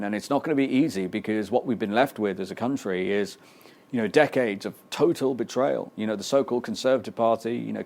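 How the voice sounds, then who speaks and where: A man's voice: fairly low pitch, a little mellow. A British man, a politician answering interview questions earnestly and insistently, often repeating words as he presses his point. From Britain. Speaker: political guest.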